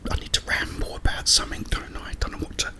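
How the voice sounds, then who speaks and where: A British man hosting an ASMR ramble in a breathy, unvoiced whisper, chatting casually with slow pauses. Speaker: ASMR video host.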